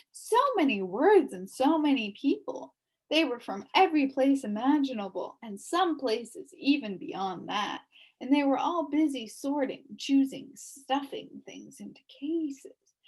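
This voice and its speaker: A woman reading a children's novel aloud with expressive, animated storytelling. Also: high voice, breathy edge, rough recording. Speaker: storybook reader.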